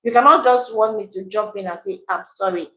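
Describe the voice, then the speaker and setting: A woman in a casual chat with her husband, explaining her side. She speaks in a measured, deliberate way. Her voice sits at an ordinary middle pitch. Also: bright, forceful tone. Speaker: wife co-hosting a couple's channel.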